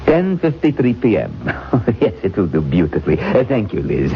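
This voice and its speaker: A vengeful, seething occult author. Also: male voice, lowish voice, sing-song, normal speed, slight background noise. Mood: sly. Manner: swings from furious outbursts to sly, quiet menace. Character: proud, vengeful male witchcraft author, seething. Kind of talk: 1970s radio drama acting. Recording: dull 1970s radio recording.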